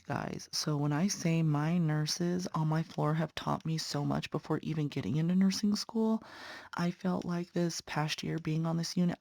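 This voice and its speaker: A woman's voice: low-pitched, gravelly, darkish tone, no echo, clean studio sound. A young woman, a patient care tech and nursing student, chatting earnestly to viewers while stitching, sounding congested. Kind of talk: casual chat while stitching.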